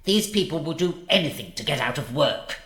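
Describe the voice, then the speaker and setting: A man playing a greedy, scheming male lord who flatters the king in old-fashioned phrases. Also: fairly high pitch, a little fast, roomy sound.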